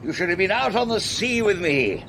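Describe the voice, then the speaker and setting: An actor playing a genial, theatrical English gentleman with a breezy sailor's heartiness in a radio drama. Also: sits high, very animated, normal speed, gravelly, exaggerated voice. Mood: hearty. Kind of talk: British radio drama acting. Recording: noisy radio play recording.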